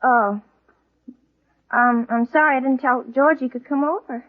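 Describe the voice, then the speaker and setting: Through a muffled vintage radio recording comes a teenage girl with a high voice. She is coy and well-mannered, performed in the style of 1940s radio comedy. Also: fairly even intonation, measured pace. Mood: piqued. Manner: coy, polite.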